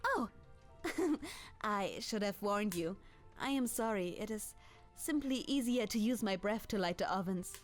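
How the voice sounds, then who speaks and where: A woman playing a kind, clumsy, centuries-old dragoness baker in an ASMR roleplay, with a German accent and gentle, apologetic, formal, halting speech. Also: medium pitch, expressive, close to an everyday voice. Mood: cheerful instruction. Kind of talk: ASMR roleplay, in-character monologue to the listener. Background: music.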